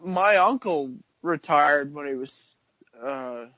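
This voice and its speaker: A man's voice: a little high, expressive, slow pace, sharp tone, dry recording. Laid-back man in his thirties, dry lo-fi sound. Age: 30s. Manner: laid-back, slangy, laughs easily. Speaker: radio show co-host. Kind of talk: casual talk radio chat.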